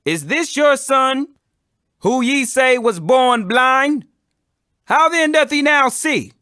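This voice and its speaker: Man acting a stern, forceful Pharisee. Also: very high-pitched, expressive, takes it slowly, no echo, clean studio sound, pitched above the performer's own voice, full character voice. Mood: probing. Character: stern, accusing Pharisees, proud religious elders questioning. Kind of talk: dramatic scripture reading.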